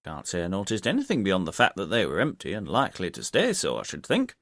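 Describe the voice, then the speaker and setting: A man puts on a young army sergeant for a storybook mystery. He is plain-spoken and blunt, with a lively, swinging pitch and a dry, close sound. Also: quick pace, no echo, exaggerated voice. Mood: matter-of-fact. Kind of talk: audiobook character dialogue.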